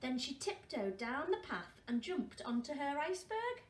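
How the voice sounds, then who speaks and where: A woman reads a children's story aloud in a high, very animated voice at a slow pace. She adds questioning asides for young listeners, and the recording is roomy. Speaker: primary school storyteller. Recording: roomy, distant mic, faint noise.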